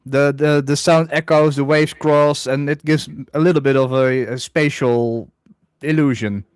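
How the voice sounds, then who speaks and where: A man from the Netherlands, hosting a casual livestream chat in a droning, halting way. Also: fairly high pitch, smooth, dry recording, clean studio sound. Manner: hesitant, many restarts, self-described droning monotone. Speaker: livestream host.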